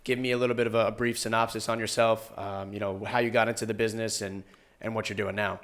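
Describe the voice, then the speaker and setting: A man's voice: lowish voice, level tone, fast talker, a bit bright. A man in his twenties from Long Island hosting a casual interview show, upbeat and self-deprecating. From Long Island, New York. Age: 20s. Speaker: podcast host, realtor.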